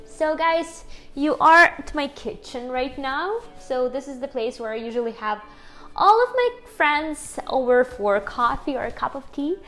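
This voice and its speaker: Slow-talking woman with a strong Ukrainian accent. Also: high voice, lively intonation, fairly bright tone. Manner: chatty and upbeat, laughing often, addressing viewers as guys. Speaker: YouTuber. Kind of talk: casual talk to camera. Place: home kitchen.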